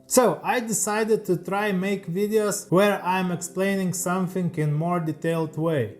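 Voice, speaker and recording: A man with a Lithuanian accent reading a scripted voice-over from a teleprompter, in careful, slightly halting English as a second language. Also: high-pitched, steady tone. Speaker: leathercraft YouTuber.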